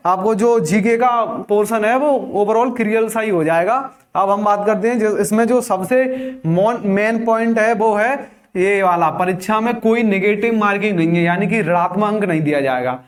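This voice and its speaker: A man's voice: high voice, normal speed, few pauses. A man giving an instructive exam-guidance lesson, addressing viewers as friends and repeating key numbers. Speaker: exam coaching teacher. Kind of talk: explanatory exam guidance lecture.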